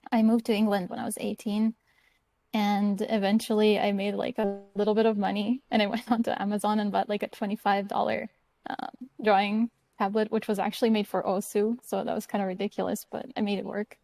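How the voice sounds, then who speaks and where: A woman from Croatia talks through her story as a guest on an online chat, easygoing and chatty. Her voice is mellow and warm. The sound is dry, with no room echo. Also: mid-range voice, flat delivery, a little fast, vocal fry. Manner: easygoing, chatty, light laughs. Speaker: guest artist. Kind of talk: casual interview answers.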